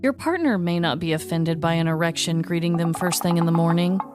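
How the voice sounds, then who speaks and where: A woman hosting a podcast, reading a comedic true-crime story with wry, deadpan delivery. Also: lowish voice, fairly quick, music in the background.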